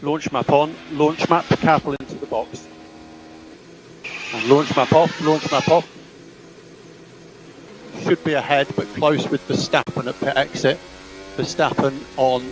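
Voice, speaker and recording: A man's voice: mid-range voice, fairly even intonation, takes it slowly, long pauses, noisy background. A man working as a race engineer, giving clipped instructions over team radio to a driver and repeating key calls. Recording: team radio with music behind.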